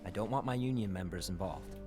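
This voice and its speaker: A man's voice: sits low, fast talker, close to an everyday voice. Man as guarded schemer over game music. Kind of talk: video game cutscene acting.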